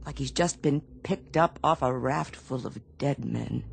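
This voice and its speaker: A Navy doctor with a very low, gravelly voice. She speaks slowly and gravely, halting as she goes, over faint noise. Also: heavily acted. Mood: grave, worried. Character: troubled, earnest Navy ship's doctor, female. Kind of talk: radio drama acting.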